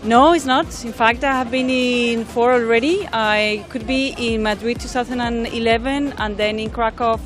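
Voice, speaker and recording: Spanish-accented young woman, earnest, halting answers, music underneath. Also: fairly high pitch, steady tone. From Spain. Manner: earnest, halting, with many fillers. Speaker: interviewee.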